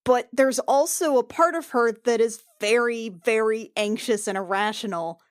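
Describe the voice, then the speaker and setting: A woman's voice: fairly high pitch, normal speed, smooth, dry recording, studio quality. A woman playing in a tabletop podcast, narrating her character's thoughts and actions. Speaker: player on actual-play podcast.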